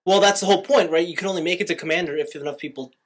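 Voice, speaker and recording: A man's voice: high voice, very fast. Informal man explaining on a podcast. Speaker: podcast host. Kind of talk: casual movie review chat.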